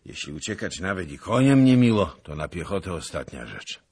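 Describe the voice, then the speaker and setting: A man's voice: low-pitched, very animated, moderate pace, darkish tone, slightly rough, pitched above the performer's own voice, exaggerated voice. A man voicing a portly, boastful old nobleman who talks in a bombastic, theatrical, lamenting way in an audiobook.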